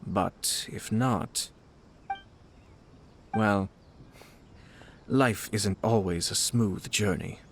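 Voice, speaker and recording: Measured, courteous young nobleman. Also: lowish voice, a little hiss or hum. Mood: calm, thoughtful. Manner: measured, formal, polite. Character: composed, courteous young nobleman and shrewd clan commissioner. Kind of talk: video game voice acting.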